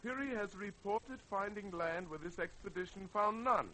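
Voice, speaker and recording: A man's voice: sits high, moderate pace, pushed and bright. A man playing an incredulous, formal navy official in an old radio drama, given to exclaiming. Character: incredulous, probing male navy survey official. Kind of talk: 1930s-40s radio drama acting. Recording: noisy old radio recording.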